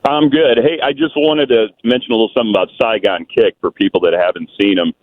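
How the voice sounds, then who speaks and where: Guy calling in on a phone, tinny line, lowish voice, pretty excited. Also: quick pace. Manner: enthusiastic. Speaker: caller. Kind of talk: call-in chat.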